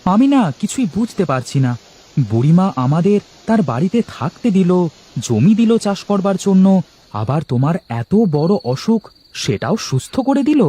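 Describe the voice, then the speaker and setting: An actor voicing a simple, earnest young village farmer in a cartoon, with an animated, exclamatory manner. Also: male voice, high-pitched, measured pace, husky, heavily acted.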